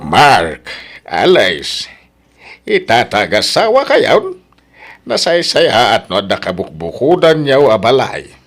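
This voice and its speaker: A man playing a kindly, generous middle-aged uncle in an audio drama, fatherly and deliberate, with an Ilocano accent. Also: medium pitch, huge pitch swings, fairly bright tone, exaggerated voice. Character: kindly, generous uncle giving advice to newlyweds.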